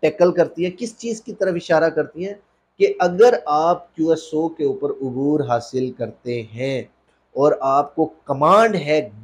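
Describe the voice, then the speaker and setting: Higher-pitched Pakistani man lecturing. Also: a little mellow. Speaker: lawyer giving a legal lecture. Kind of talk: explanatory lecture mixing in English legal terms.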